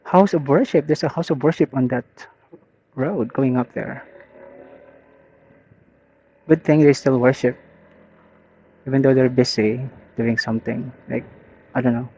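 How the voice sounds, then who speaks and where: A man's voice: medium pitch, steady tone, a little slow, long pauses, a little mellow, slight background noise. A man with a Filipino accent chatting casually and thinking aloud as a motorcycle vlogger, in an easygoing, rambling way. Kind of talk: casual chat, rambling commentary while riding. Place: outdoors, riding a motorbike on rural roads. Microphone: helmet intercom headset. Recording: muffled helmet intercom audio.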